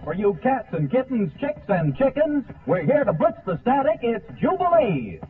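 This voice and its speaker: A man's voice: very high-pitched, big pitch swings, moderate pace, far from the mic, narrow, tinny audio, music in the background. A man announcing a 1940s radio show in showy, hip jive-talk patter, on an old recording.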